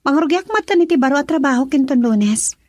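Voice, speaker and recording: A woman's voice: sits high, expressive, brisk, darkish tone, no room sound. A woman acting a heartbroken young daughter in an Ilocano radio drama, with an Ilocano accent. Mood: sorrowful.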